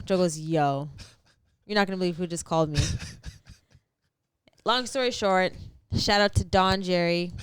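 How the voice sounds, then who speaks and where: A woman co-hosting a casual sports podcast, talking informally with slang and shout-outs. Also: medium pitch, clear, resonant tone, dry recording, clean studio sound. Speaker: podcast co-host.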